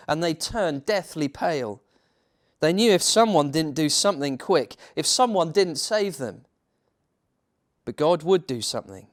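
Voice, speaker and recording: A man reading a children's bedtime story as a church minister, theatrical, with dramatic pauses and emphasis. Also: a little high.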